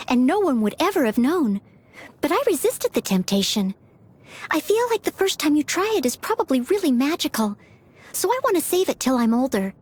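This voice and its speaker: A woman's voice: high-pitched, darkish tone. A woman voicing an earnest, polite teenage maid and aspiring knight in a video game, eager to please. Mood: earnest, confiding. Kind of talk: video game character voice lines. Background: background music.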